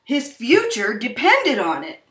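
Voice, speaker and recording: A woman reads a children's story aloud with dramatic emphasis, taking her time over the words. Her voice is bright and swings widely in pitch. The recording is roomy and picked up from a distance. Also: huge pitch swings, measured pace. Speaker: storyteller reading a children's picture book aloud. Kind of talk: expressive read-aloud storytelling. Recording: roomy echo, distant microphone.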